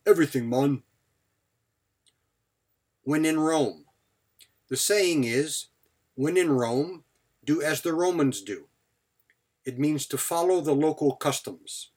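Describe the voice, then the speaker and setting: Slow-paced man teaching vocabulary in short phrases. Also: a little high, lively intonation, a little mellow, slightly rough. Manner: short, clipped phrases with example sentences. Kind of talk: scripted vocabulary lesson.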